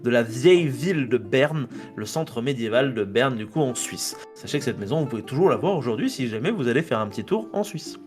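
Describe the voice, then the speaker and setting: A man's voice: medium pitch, lively intonation, brisk, few pauses, darkish tone, soft background music. A French man presenting a history segment on a casual podcast. He reads from his notes, stumbles over foreign names and jokes about his own slips.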